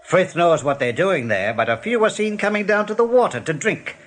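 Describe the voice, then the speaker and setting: An actor playing a sly rabbit general in a radio play, questioning with measured, menacing courtesy. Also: male voice, high-pitched, lively intonation, a bit bright. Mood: silkily suspicious.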